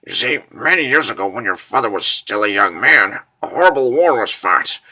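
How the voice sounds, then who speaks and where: A man playing a wry, grumbling wizard in a fantasy comic dub, folksy, animated and full of wisecracks. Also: medium pitch, moderate pace, rough recording. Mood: wry, explaining.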